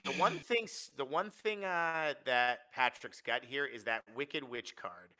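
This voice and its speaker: Bright-voiced male game commentator. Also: mid-range voice, animated, measured pace. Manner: analytical, restarts phrases often. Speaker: tournament commentator. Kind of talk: live play-by-play commentary.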